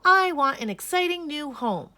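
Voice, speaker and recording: A woman's voice: high voice, expressive, normal speed, bright, forceful tone, voice pushed up. A woman voicing a fussy, restless little female bunny in a storybook, with plaintive, emphatic delivery. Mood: bored, fed up.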